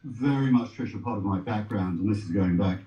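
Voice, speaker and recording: A middle-aged man giving earnest, measured and formal answers as a panel guest, heard as TV sound replayed through speakers. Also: fairly low pitch, mellow, reverberant, dull audio. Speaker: rabbi on a discussion panel.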